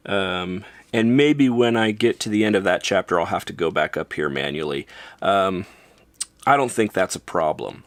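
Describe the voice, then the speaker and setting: A man with a North American accent talks through a gadget review in a fairly low voice. His delivery is casual and offhand, with a wry, informal touch. The sound is dry, with very little room in it. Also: no room sound. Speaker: product reviewer.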